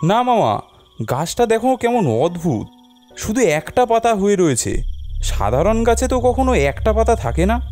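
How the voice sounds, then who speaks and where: A man voicing a timid, excitable young nephew in a cartoon, speaking in an anxious, exclaiming way. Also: high voice, moderate pace, soft background music. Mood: nervous. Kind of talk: cartoon voice acting.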